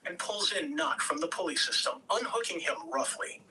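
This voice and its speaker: A man's voice: fairly high pitch, expressive, moderate pace. A man reading out stage directions as scripted narration at an amateur table read. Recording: distant room mic, faint noise.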